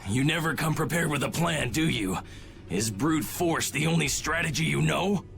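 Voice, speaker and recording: An actor playing a proud, scornful rival knight in a video game cutscene. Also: male voice, fairly high pitch, expressive, moderate pace, a bit bright, soft background music. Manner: scornful, gravelly.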